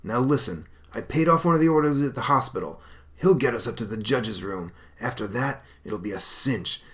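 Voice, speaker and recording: A man voicing a scheming gangster boss giving his crew orders in a brisk, conspiratorial tone. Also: mid-range voice, lively intonation, fairly bright tone, pitched above the performer's own voice, close to an everyday voice.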